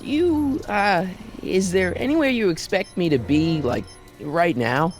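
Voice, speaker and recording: A man's voice: high-pitched, animated, unhurried, faint music behind. An actor playing an earnest, puzzled American teenage boy in a video game, speaking casually and hesitantly. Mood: uneasy. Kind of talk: animated video game dialogue acting.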